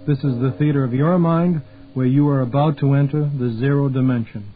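A man's voice: mid-range voice, fairly even intonation, brisk. A man giving a theatrical scripted introduction as a radio show announcer. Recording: very muffled, phone-like sound. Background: prominent music.

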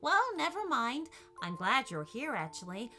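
A woman's voice: on the high side, big pitch swings, measured pace, bright, forceful tone, faint music behind, pitched above the performer's own voice, heavily acted. A woman voicing a friendly male talking cat in a children's story, genial and courteous.

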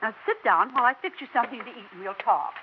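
A woman's voice: a little high, expressive, fast talker, slight background noise, natural voice. A woman playing an anxious old widow. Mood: insistent. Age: older. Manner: anxious, insistent, pleading. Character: older widow insisting dead husband returned. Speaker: mother. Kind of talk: 1960s radio drama acting. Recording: dull lo-fi old radio recording.

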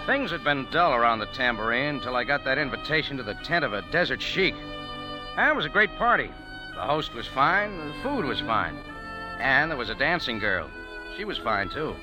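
An actor playing a wisecracking, hard-boiled cafe owner who narrates in a 1940s radio drama. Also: male voice, mid-range voice, sing-song, normal speed, music in the background, close to an everyday voice, rough recording. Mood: narrating. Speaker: lead and narrator.

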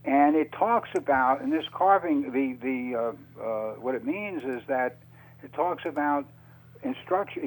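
Man in a halting radio interview. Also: mid-range voice, low-quality recording. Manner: halting, searching delivery with repeated words. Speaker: interview guest, author on UFO topics. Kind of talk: unscripted radio interview talk.

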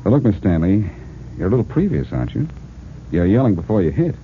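A cocky amateur detective, low-voiced and lively, with breezy wisecracks. It is 1940s radio acting on a thin, tinny recording. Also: male voice, fast, slight background noise. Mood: cool, teasing. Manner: breezy, sardonic, flirtatious.

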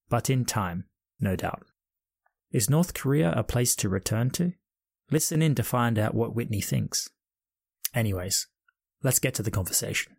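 This is a male podcast host with a gravelly, fry-tinged voice, recorded close and dry at home. He reads his introduction in an upbeat, measured way and then moves into relaxed conversation. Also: lowish voice, no echo. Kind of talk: scripted intro then casual interview. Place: home during lockdown.

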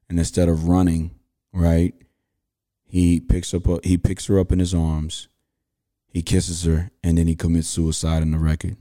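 A man's voice: deep voice, dark tone. A man, hosting a podcast and telling a story casually with slang and fillers. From Houston, Texas. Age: 30s. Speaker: podcast host.

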